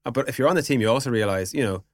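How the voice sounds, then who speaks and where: A man's voice: mid-range voice, very fast, no echo. A man speaking as a podcast guest in an informal, explanatory way, in casual business conversation peppered with filler words like "you know". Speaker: podcast guest, product executive.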